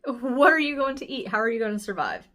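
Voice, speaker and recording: A woman in her forties speaks in a somewhat high voice with a light rasp. She talks like a vlogger catching up with viewers, casual and candid, telling things in an animated way. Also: fast. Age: 40s. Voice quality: slightly raspy. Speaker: health vlogger. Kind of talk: casual chatty personal update.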